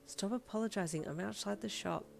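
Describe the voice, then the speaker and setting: A woman voicing a teasing, deadpan young woman musician who speaks softly and dryly. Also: mid-range voice, brisk, vocal fry, soft background music, voice pushed up. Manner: soft, dry and teasing.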